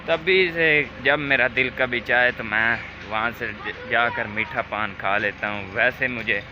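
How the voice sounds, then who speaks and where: A man's voice: mid-range voice, noisy background. A man with a slightly sore throat chatting to viewers as he walks through his village to a shop. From Pakistan. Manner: chatty, addresses viewers directly. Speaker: vlogger. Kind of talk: casual vlog walking through a village. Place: outdoors in a village lane.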